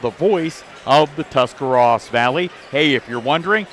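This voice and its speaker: A man's voice: fairly high pitch, expressive, moderate pace, fairly bright tone, soft background music. A man hosting a halftime report on a sports broadcast, moving between recap and sponsor reads. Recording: noisy gymnasium broadcast. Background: basketball gym ambience.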